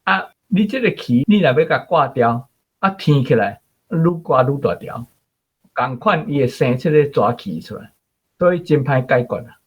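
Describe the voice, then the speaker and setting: A man's voice: mid-range voice, expressive, normal speed, breathy edge. A man with a Taiwanese accent giving an informal explanation as a guest commentator. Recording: muffled, dull audio.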